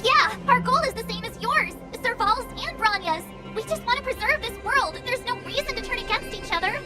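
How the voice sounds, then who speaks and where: A woman's voice: extremely high pitch, animated, brisk. An actress playing a plucky, earnest, girlish young adventurer in a video game. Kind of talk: animated video game voice acting. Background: game music.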